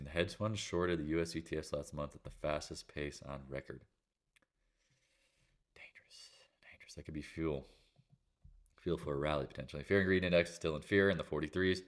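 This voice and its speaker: An American man with a head cold gives a casual market recap as host, in clipped, slangy phrases with a congested nose and throat. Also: low voice. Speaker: channel host, trader and real estate agent.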